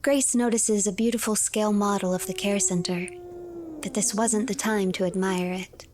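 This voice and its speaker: A woman narrating a game's story in a measured, scripted storytelling manner. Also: mid-range voice, expressive, background noise. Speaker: streamer narrator. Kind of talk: scripted storybook-like narration of a game, third person.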